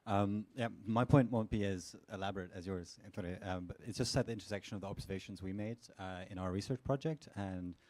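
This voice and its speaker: Deep-voiced male researcher on a panel. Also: a little mellow. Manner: measured, with frequent "you know" and "um". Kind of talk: panel discussion answer. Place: conference hall panel.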